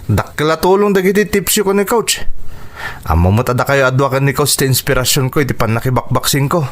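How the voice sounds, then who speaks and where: A man's voice: mid-range voice, expressive, a little fast, natural voice. A man playing a determined, grateful young boxer in a radio drama, speaking earnestly and expressively. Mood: grateful, relieved.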